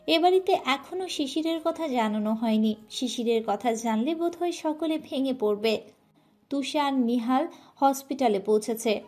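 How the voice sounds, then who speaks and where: A woman's voice: a little high, a little mellow. A woman narrating a romantic family story in an emotional, earnest style.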